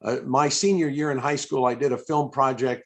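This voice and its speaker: A man conducting a conversational interview over a video call, asking long, musing questions in a halting, rambling way as he thinks aloud. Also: medium pitch, steady tone. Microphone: video call microphone.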